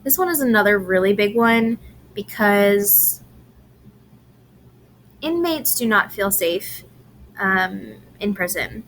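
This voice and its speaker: A woman giving a recorded lecture presentation, unhurried with frequent "um"s and restarts, her voice a little strained from allergies. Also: medium pitch, lively intonation, fairly bright tone, a little hiss or hum. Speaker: presenter on grief and incarceration.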